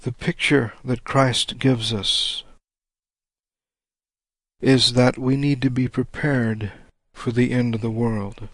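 Man, preacher, congested rough voice, very slow measured delivery, dry close sound. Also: medium pitch, level tone, no echo. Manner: deliberate, measured reading of old-style scripture. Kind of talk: slow sermon with scripture reading.

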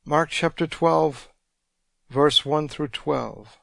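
A man preaching a radio sermon, congested, reading scripture slowly and deliberately. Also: a little high, steady tone, gravelly, a bit bright, dry recording.

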